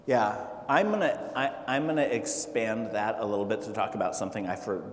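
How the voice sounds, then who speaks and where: A man speaking as a political candidate at a town hall, halting and full of fillers and restarts. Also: lowish voice. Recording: echoey hall with faint noise.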